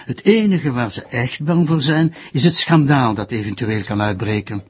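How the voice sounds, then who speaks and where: An actor playing a reserved senior official, speaking formally and slightly huskily in a TV crime drama. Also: male voice, mid-range voice, moderate pace, slightly rough, close to an everyday voice. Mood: measured, discreet.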